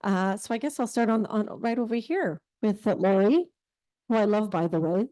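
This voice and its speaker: A woman's voice: medium pitch, normal speed, dark tone, no room sound. A woman moderating a live panel on stage, speaking into a handheld mic. Her talk is chatty and encouraging as she invites guests to share their stories. Her voice sounds dry and close, with little room sound. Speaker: event host. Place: event hall with audience. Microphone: handheld microphone.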